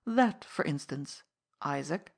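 A woman voicing a masked gentleman thief who speaks softly, coolly and with mocking politeness. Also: low voice, lively intonation, takes it slowly, gravelly, breathy edge, heavily acted. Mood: polite. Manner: soft, cool, politely mocking.

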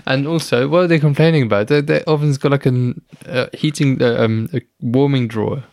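A man with a gravelly, fry-heavy voice chats in a loose, jokey way, often asking questions and teasing his co-hosts. His pitch stays middling. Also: fairly quick, darkish tone. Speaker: podcast co-host. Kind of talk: casual chat.

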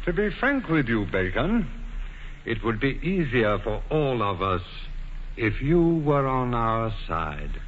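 An actor playing a cold, scheming lord in a 1940s radio play, speaking with deliberate, insinuating theatricality. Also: male voice, mid-range voice, very animated, slight background noise. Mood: coolly persuasive. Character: cold, scheming, pragmatic male lord, a conspirator. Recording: muffled 1940s radio recording, long reverb.

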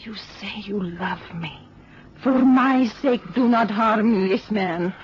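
An actress playing a desperate, pleading lover in a radio melodrama, imploring and emotional. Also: female voice, mid-range voice, slow, lightly acted. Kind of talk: melodramatic radio drama acting. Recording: dull old radio recording, faint noise.

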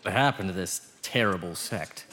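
Lively, irreverent investigator. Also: male voice, sits low, normal speed, full character voice. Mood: wry. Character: inquisitive, irreverent male investigator. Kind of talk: radio drama acting. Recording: music bed behind the voice.

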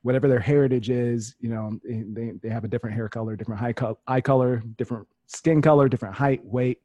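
Measured man with a mellow, dry voice. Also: medium pitch, steady tone, no echo, lo-fi sound. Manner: measured, earnest, deliberate explaining. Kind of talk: casual conversation.

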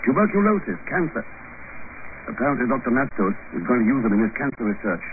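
An actor playing a suave, earnest government agent who narrates his case in a measured 1950s radio drama style. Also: medium pitch, sing-song, background noise, close to an everyday voice. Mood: interested. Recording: dull old 1950s radio recording.

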